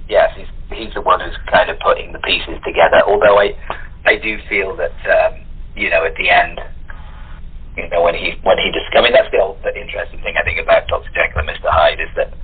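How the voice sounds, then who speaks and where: A man with a husky voice talking casually and reflectively as an interview guest. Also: low voice. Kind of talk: casual discussion. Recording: tinny phone-line sound.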